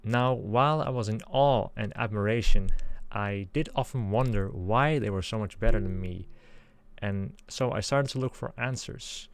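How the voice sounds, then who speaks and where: A man's voice: on the low side, moderate pace, smooth. A man in his twenties from the Netherlands, Dutch accent, giving an earnest, carefully hedged monologue as a channel host. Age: 20s. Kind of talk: scripted monologue.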